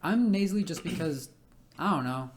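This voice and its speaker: Young male co-host, nasal and high-voiced, stammering casual delivery, very lively pitch. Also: a little hiss or hum. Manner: halting, stammering restarts, laughs often. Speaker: podcast co-host. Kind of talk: casual chat.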